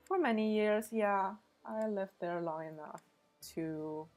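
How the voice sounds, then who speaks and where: A woman's voice: mid-range voice, slow, darkish tone, soft background music. A young woman from Beijing, chatting casually with viewers on a game stream and often using "like" as a filler. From Beijing, China. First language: Mandarin Chinese. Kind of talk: casual live-stream chat.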